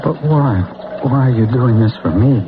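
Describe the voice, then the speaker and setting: A man playing an earnest, bewildered young American in a vintage radio drama, speaking in a halting, incredulous way. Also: medium pitch, lively intonation, background noise, close to an everyday voice. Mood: bewildered, disbelieving. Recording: muffled old radio broadcast, music.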